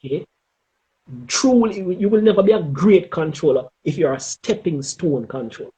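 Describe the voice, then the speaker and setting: A middle-aged man with a Jamaican accent giving advice in an interview, speaking emphatically and deliberately and often checking in with "okay?". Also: high voice, animated, dark tone, poor audio. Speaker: interview guest, former air traffic controller.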